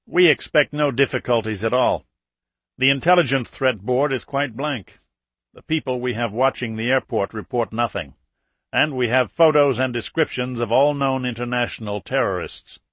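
A man voicing a friendly, courteous Australian army colonel in an audiobook, with a brisk military manner. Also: medium pitch, fairly bright tone, no room sound, close to an everyday voice. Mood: friendly, assured. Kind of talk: audiobook character dialogue.

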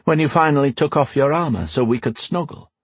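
Storybook warrior queen, played by a male narrator: high, husky, teasing, proud, quick to command. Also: dry recording. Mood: playful, teasing. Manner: playful, teasing, regal when commanding.

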